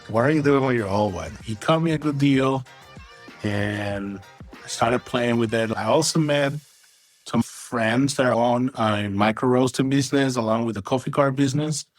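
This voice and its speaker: A man from Venezuela, a guest on an interview podcast, talking in a relaxed, rambling way and often tagging his sentences with "right?". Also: mid-range voice, dry recording. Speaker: guest, coffee roaster and content creator. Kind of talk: casual interview talk.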